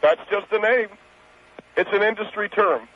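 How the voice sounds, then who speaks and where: A prissy middle-aged fashion expert, fussy and smug, in a high voice, as a man puts him on. He over-explains everything. The sound is thin and phone-like. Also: normal speed. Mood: condescending. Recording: tinny phone-like line.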